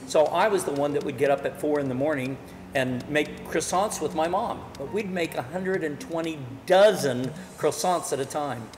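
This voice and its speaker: An older man, a chef and business owner, giving a folksy, salesy promotional pitch to camera. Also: long reverb tail. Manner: folksy, salesy, plain-spoken. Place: commercial kitchen and office.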